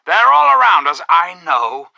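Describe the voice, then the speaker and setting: An actor playing a folksy, pompous, paternal American president in a video game, delivering a grandiose propaganda radio address with deliberate, theatrical emphasis. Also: male voice, medium pitch, very animated, sharp tone, dry recording, muffled recording, heavily acted. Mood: ominous, impassioned. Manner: grandiose, folksy, deliberate emphasis with dramatic falling phrase ends. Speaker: president giving a radio monologue. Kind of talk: theatrical political radio address, fireside-chat oratory.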